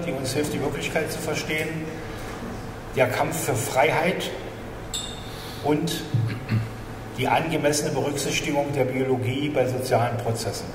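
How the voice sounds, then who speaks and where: An older man from East Germany giving a formal self-introduction as a panelist. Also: mid-range voice. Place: large conference room indoors. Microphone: table microphone. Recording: distant, echoey noisy hall.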